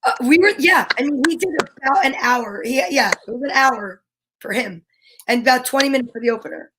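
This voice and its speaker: High-pitched woman chatting over some noise. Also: lively intonation, fairly quick. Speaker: radio show host. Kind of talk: casual chat.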